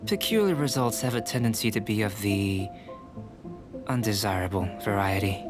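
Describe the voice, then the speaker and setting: A man voicing a calm, measured young male alchemist in a game, who speaks in a precise, formal way. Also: on the low side, lightly acted. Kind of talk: game voice acting. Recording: game cutscene with music behind.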